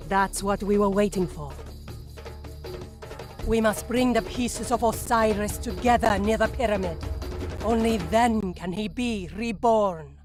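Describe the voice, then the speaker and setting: An actor playing a stern, proud warrior leader giving grave orders in a video game cutscene. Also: male voice, high voice, measured pace, music in the background. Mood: solemn.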